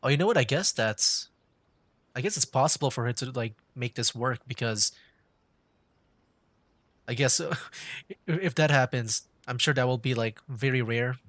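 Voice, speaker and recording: A man's voice: mid-range voice, moderate pace, long pauses, a bit bright, no echo. A young man streaming a game with casual, hesitant commentary, rambling and repeating his words. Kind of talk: casual live gaming commentary. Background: game music.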